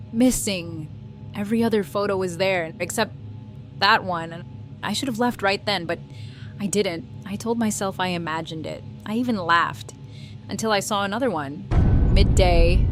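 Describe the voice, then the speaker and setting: A woman playing a haunted wildlife photographer, narrating a first-person ghost story with suspenseful, deliberate pauses. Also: medium pitch, natural voice. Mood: dread, suspense. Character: haunted young woman wildlife photographer recounting a terrifying encounter.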